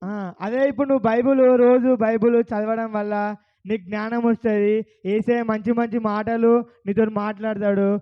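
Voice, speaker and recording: A man's voice: high voice, steady tone, a little fast, dull audio. A man hosting a kids' bible puppet show, asking the children questions over and over.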